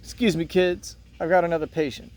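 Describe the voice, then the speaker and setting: A man reading a play aloud as a serious, caring veterinarian, explaining in a measured way. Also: a little high, little pitch movement, darkish tone, pitched above the performer's own voice, close to an everyday voice. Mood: matter-of-fact. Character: Doctor Hayes, serious caring turtle veterinarian.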